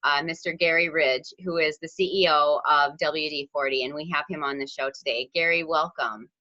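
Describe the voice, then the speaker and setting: A woman's voice: deep voice, steady tone, normal speed, sharp tone, highs cut off. A woman hosting a podcast interview, enthusiastic and effusive with her guest. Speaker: podcast host.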